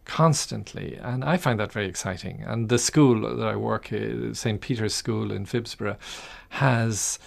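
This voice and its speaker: An Irish-accented man, middle-aged or older, reflecting and telling stories as an interview guest, with halting restarts. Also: on the low side, vocal fry. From Ireland. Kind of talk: reflective conversational storytelling.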